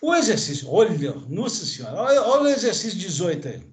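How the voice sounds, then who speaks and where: A man's voice: sits high, very animated, fast talker. A Brazilian man in his sixties or older, a chemistry teacher giving a lecture, pointing at a graph and tagging nearly every phrase with questions to his class. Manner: pointing at a graph with constant tag questions and fillers. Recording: echoey room.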